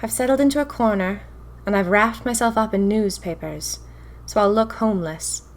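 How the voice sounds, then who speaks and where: A woman's voice: mid-range voice, normal speed, close to an everyday voice. A woman playing a whimsical, chatty, easily spooked 1920s diarist in a theatrical, wry audio drama narration. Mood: frightened. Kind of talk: dramatic first-person diary narration.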